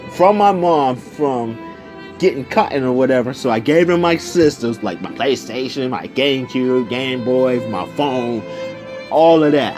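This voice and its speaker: A young man telling a personal story casually, in an animated, slangy way, acting out the conversations as he goes. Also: mid-range voice. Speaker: video storyteller.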